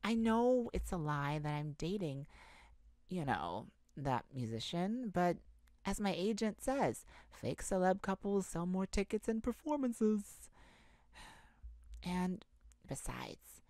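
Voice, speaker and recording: Tired, anxious female pop star for intimate audio roleplay, acted by a woman: halting delivery, low pitch, very dry close sound. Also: very animated, warm tone, no echo. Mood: tired, resigned. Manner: halting, hesitant, confiding. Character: exhausted, anxious pop star confiding in her bodyguard lover. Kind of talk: intimate ASMR roleplay acting.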